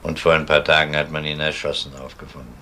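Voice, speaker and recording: A police detective with a low voice, formal and measured as he probes. It is a crime radio play, with clear background noise on the recording. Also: flat delivery, bright, forceful tone, heavily acted. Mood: formal, courteous. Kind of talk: German crime radio drama acting. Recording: noisy old radio drama recording.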